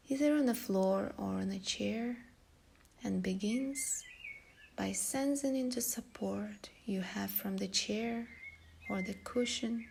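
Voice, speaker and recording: Slow, creaky-voiced woman guiding meditation. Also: medium pitch, darkish tone, a little hiss or hum. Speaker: meditation guide. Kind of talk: guided meditation narration.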